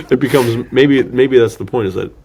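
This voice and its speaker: A man's voice: medium pitch, darkish tone, slightly rough. A man co-hosting a podcast, discussing film casually and thinking aloud in a rambling, slangy way. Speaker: podcast co-host.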